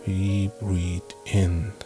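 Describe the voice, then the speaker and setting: A man narrating a guided meditation in a measured, instructive way, with frequent pauses between phrases. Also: deep voice, level tone, warm tone. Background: background music.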